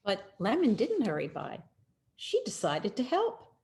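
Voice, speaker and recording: A woman reading a children's picture book aloud in an expressive, deliberate storytelling manner with dramatic pauses. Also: mid-range voice, vocal fry, slight background noise. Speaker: storyteller, rabbi.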